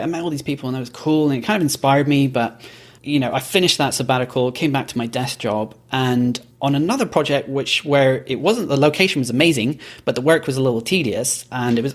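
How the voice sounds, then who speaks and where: A man from Belgium, a guest on a podcast, telling his career story in a measured, reflective way. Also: mid-range voice. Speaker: podcast company founder, guest. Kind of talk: casual podcast interview.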